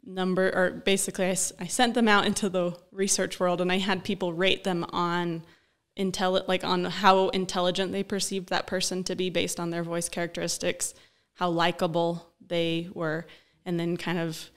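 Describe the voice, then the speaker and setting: Smooth-voiced young woman explaining calmly. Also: on the low side, normal speed. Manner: explanatory, thoughtful, frequent um fillers. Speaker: speech-language pathologist guest. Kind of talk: conversational interview. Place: small studio office. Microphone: desk-mounted broadcast mic.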